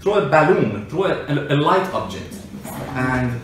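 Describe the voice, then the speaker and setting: A man's voice: a little high, lively intonation, unhurried. A man explaining informally to a listener in an argumentative way, working through everyday examples. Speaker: explainer, self-described engineer and scientist. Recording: echoey room, distant mic, faint noise.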